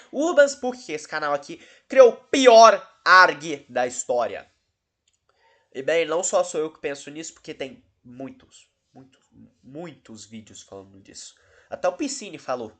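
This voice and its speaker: A man's voice: fairly high pitch, animated, unhurried, a bit bright. Rambling, dryly sarcastic Brazilian man. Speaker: host of a video channel about horror cases. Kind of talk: casual, unscripted-sounding video commentary.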